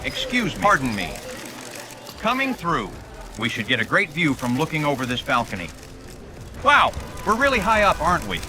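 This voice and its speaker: An actor playing a bumbling, vain TV news reporter in a motion comic, forever correcting himself. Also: male voice, fairly high pitch, huge pitch swings, normal speed, long pauses, pushed and bright, a little hiss or hum, exaggerated voice. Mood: nervous, queasy. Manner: gaffe-prone, self-correcting.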